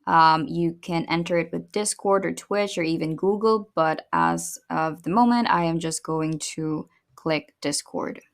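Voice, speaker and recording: A woman hosting a software tutorial, narrating casual step-by-step instructions. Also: low voice, unhurried, hardly any pauses. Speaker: tutorial host.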